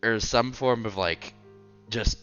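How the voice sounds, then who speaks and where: A man reading a visual novel aloud as a streamer, breaking into casual, joking asides in an animated way. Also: lowish voice, slow, clean tone, muffled recording. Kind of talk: casual let's-play commentary mixed with expressive story reading. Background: music under the voice.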